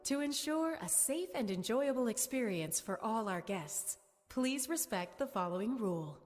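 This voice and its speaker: An actress playing a relentlessly cheerful, controlling theme-park announcer, making scripted public-address announcements in long reverb. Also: female voice, on the high side, animated, normal speed, a little mellow, natural voice. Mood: insistent cheer. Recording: long hall reverb, faint music behind.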